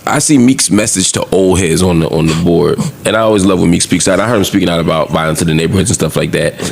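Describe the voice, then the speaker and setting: A man's voice: deep voice, fast, vocal fry, a little hiss or hum. A man talking casually as a podcast panelist. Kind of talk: casual discussion.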